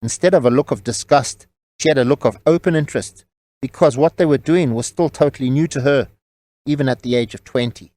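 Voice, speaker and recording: A man's voice: mid-range voice, brisk, a little mellow, dry recording. A man reading scripted novel narration as the storyteller of an audio drama.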